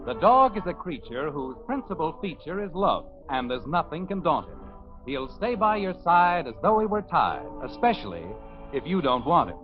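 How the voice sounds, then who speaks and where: 50s radio narrator guy, american, lively intonation, scripted comedy intro, dull old sound w/ faint music. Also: on the high side, normal speed. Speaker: announcer-narrator. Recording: muffled 1950s radio recording, faint music.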